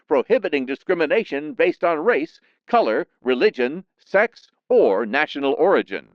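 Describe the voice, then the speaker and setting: A man reads a scripted 1960s-style newsreel in a dramatic, measured announcer's delivery. His voice is somewhat high and very lively in pitch, with a resonant, clean tone. The sound is a little dull and band-limited. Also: smooth, no room sound. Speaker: newsreel narrator.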